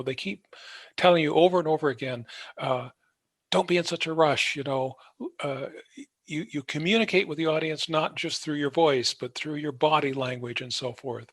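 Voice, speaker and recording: Male podcast host, slightly high voice, wordy and wry interviewer, dry studio-like sound. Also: fairly bright tone, no room sound. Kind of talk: conversational interviewing.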